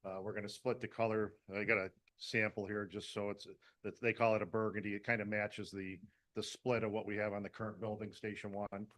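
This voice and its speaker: A man's voice: lowish voice, little pitch movement, a little fast, a bit bright. A man presenting his fire department's building plans to a town planning board, talking casually with many fillers and restarts. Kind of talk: casual presentation at a public meeting.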